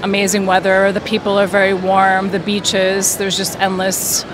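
Greek woman amid loud street noise. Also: medium pitch, normal speed. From Greece. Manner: relaxed, reflective, many fillers. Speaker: street interviewee. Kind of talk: casual street chat. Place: busy city street outdoors.

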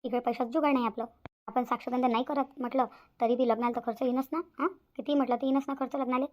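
A woman's voice: high voice, fairly even intonation, quick pace, lo-fi sound, close to an everyday voice. A woman voicing a worried, stubborn village mother in a Marathi cartoon, plaintive and repetitive, with a Vidarbha Marathi accent.

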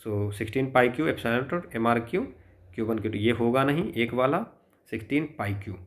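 A man's voice: mid-range voice, animated, normal speed. A man with an Indian accent working step by step through exam problems as a physics tutor, explaining and often checking in. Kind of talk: step-by-step exam solution walkthrough.

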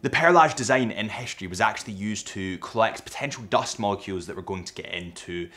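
A man's voice: fairly low pitch, quick pace, faint music behind. A young man with a Scottish accent, enthusiastically presenting a watch factory visit from a small indoor studio set. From Scotland. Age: young adult. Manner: animated, gestures with his hands. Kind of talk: enthusiastic watch vlog narration.

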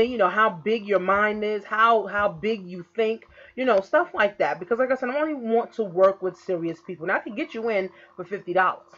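A woman in her early thirties, an online business coach, giving a casual recruiting pitch to camera, repeatedly checking in with "okay?" and "guys". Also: lo-fi sound. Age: 30s. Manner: repetitive, keeps saying "okay?" and "guys". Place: indoor room. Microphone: laptop webcam in screen recording.

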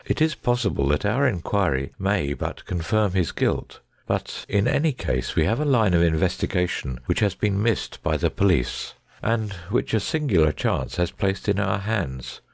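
A man's voice: deep voice, big pitch swings, normal speed, vocal fry, hardly any pauses, no room sound, clean studio sound, exaggerated voice. A man playing a shrewd, self-assured detective in a dramatised audiobook, speaking precisely and formally. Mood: brisk, resolute.

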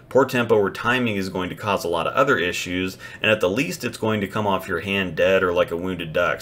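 A man with a low, deep voice narrates an instructional lesson. He stays matter-of-fact and analytical, and he walks through each mistake in a steady scripted voice-over. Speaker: bowling instructor.

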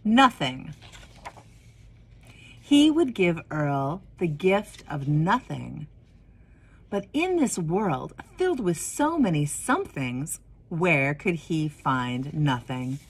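A woman in her forties reading a children's story expressively, with deliberate pauses and emphasis. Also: sits low, sing-song, slightly rough. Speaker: minister of religious education reading a picture book.

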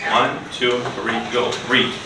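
A middle-aged man teaching an English class to children in simple, deliberate phrases, with repeated words and short instructions. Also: mid-range voice, flat delivery, vocal fry. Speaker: ESL teacher. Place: indoor school classroom. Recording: roomy, noisy classroom, distant mic.

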